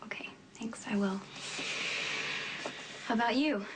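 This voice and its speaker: A woman's voice: on the high side, a little slow, very noisy, natural voice. An actress playing a supportive, loyal young woman in a television soap opera. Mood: soft, reassuring.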